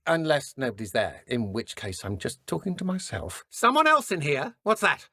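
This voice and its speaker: A cruel British dark wizard from a fantasy video game. His voice sits a little high and moves with lively, haughty inflection. The sound is dry and close, like studio game dialogue. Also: moderate pace, no echo, exaggerated voice. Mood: suspicious, taunting. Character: callous male dark wizard henchman, arrogant and cruel.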